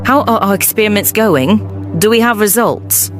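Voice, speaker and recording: A woman's voice: mid-range voice, animated, moderate pace, over music, natural voice. A woman playing a cold, commanding corporate villain in an audio drama, curt and imperious in manner. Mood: cool, demanding.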